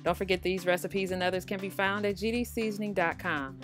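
A woman's voice: medium pitch, fairly quick. A woman hosting a cooking tutorial, narrating casually in a chatty, informal, encouraging way. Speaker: cooking show host. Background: background music.

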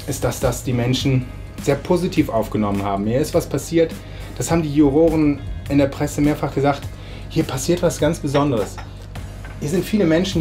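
A German man in his forties talking casually while cooking in his home kitchen, relaxed with many hesitations. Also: medium pitch. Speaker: interviewed chef. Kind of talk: casual cooking demo and interview. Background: background music.

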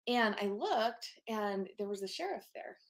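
A soft-spoken woman in her thirties, a guest on a video call, telling her life story in an open, earnest way with lots of fillers. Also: mid-range voice, expressive, unhurried. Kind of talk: candid personal storytelling. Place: home office.

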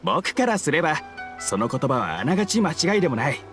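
Anime game townsman: opinionated fan, earnest explainer, high male voice. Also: big pitch swings, fairly quick, music in the background.

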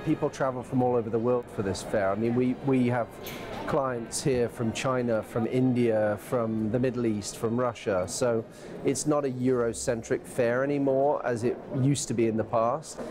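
A man's voice: medium pitch, fairly even intonation, normal speed, music in the background. A man in his fifties, a gallery owner, talking enthusiastically in an interview at an art fair. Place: art fair hall, indoors.

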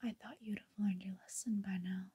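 A young woman in an ASMR roleplay playing a bossy, controlling girlfriend, speaking in slow, menacing, breathy whispers close to the mic in a small bedroom. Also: medium pitch, fairly even intonation, warm tone. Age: young adult. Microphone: close camera mic.

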